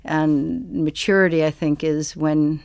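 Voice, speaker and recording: A woman's voice: low voice, level tone, unhurried, darkish tone. A woman reflecting thoughtfully as a guest in a panel discussion.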